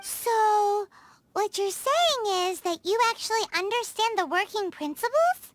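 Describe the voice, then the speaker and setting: An extremely high, childlike voice for a small fairy companion in a video game. It is blunt, excitable and squeaky, taking its time over words with very animated swings. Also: female voice, unhurried, no echo, full character voice. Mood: puzzled. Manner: refers to self in third person, exclamatory. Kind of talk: English game voice acting. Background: background music.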